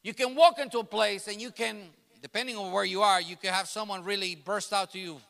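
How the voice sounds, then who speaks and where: A man's voice: high voice, steady tone, brisk, gravelly, a bit bright. A middle-aged man whose native language is Spanish, preaching a sermon with emphatic, repeated phrases that build to passionate peaks. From grew up in New York City. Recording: long reverb of a large hall.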